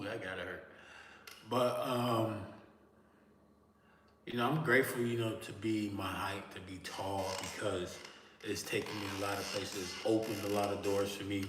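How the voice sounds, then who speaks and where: A man's voice: lowish voice, fairly bright tone. A man from Miami with a Miami accent, chatting casually and profanely to his livestream viewers from an indoor room. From Miami, Florida. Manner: loose, slangy and profane, talking straight to chat. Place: indoor room, seated in a creaky chair. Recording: roomy echoey room with long reverb.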